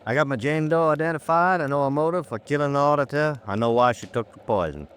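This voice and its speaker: An American man playing a blunt, folksy police lieutenant who lectures gruffly, in old-time radio drama style. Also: fairly high pitch, quick pace, a bit bright, natural voice. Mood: smug, magnanimous. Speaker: supporting actor.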